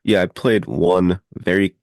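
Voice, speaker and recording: A man's voice: deep voice, steady tone, unhurried, vocal fry, a little mellow, no echo, studio quality. A man co-hosting a podcast in casual chat, informally and enthusiastically recommending things. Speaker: podcast co-host.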